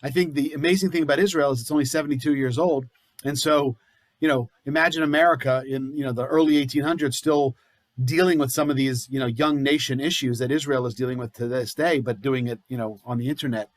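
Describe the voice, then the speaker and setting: A man's voice: medium pitch, steady tone, fast talker, no room sound. Casual man hosting on a dry call. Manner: casual, filler-heavy. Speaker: congregation program host. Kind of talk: moderating a Q&A. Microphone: video call.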